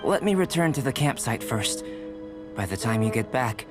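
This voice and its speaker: A man doing a composed young alchemist, mid-pitched, measured, polite, game music behind. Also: lively intonation, close to an everyday voice. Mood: grateful. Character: calm young male alchemist, curious and composed. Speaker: alchemist researcher. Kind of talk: video game voice acting.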